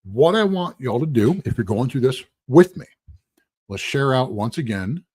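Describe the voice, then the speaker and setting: A husky man, losing his voice, teaching a casual live-streamed lecture in a rambling, conversational way with asides. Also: mid-range voice, big pitch swings, normal speed, darkish tone, dry recording. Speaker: instructor.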